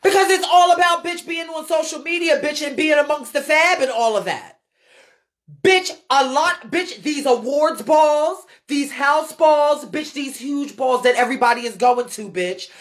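High-pitched American woman, blunt live-stream commentator, swears freely and hammers points by repetition. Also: measured pace. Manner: blunt, emphatic, heavy swearing, repeats phrases for emphasis. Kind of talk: unscripted live rant answering viewer comments.

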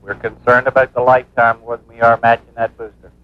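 A man's voice: mid-range voice, level tone, quick pace. A man as mission director giving plain-spoken, businesslike instructions over a radio loop. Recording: muffled 1960s control room loop.

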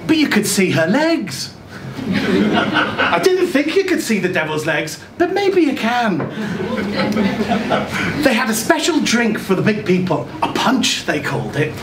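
A man's voice: high voice, wildly swooping pitch, measured pace, pauses often. A middle-aged man reading a short story dramatically as a naive, chatty, frightened child, with hesitant, stammered repeats. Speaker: reader at a live short story event. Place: small indoor event room. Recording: roomy, distant camera recording with loud noise.

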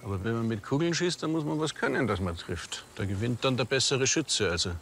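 An actor playing a boastful, halting hunter with a Bavarian accent in a TV crime comedy. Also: male voice, medium pitch, expressive, fairly bright tone, slight background noise.

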